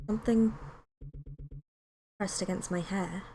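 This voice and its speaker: A woman's voice: mid-range voice, fairly even intonation, slow, warm tone, a little hiss or hum. A woman voicing the regretful, badly wounded narrator of a spooky visual novel. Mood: bewildered.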